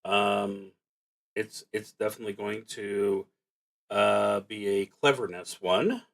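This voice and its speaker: Slow-paced man musing through a solo game. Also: lowish voice, fairly even intonation, creaky. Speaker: streamer playing a solo roleplaying game. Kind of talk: casual livestream play, reading prompts and thinking aloud.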